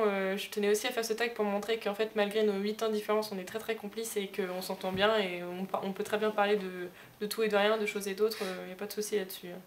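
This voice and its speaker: A woman in her early twenties chatting casually to camera in a rambling, giggly way, recorded at home on an amateur camera. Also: medium pitch. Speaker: vlogger, elder sister. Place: room at home. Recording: noisy amateur digital camera sound.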